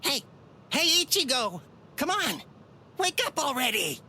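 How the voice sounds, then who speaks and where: An actress playing a loud, impatient, pestering young character in a video game. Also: female voice, high voice, big pitch swings, measured pace, heavily acted. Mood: impatient nagging. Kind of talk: animated English video game voice acting.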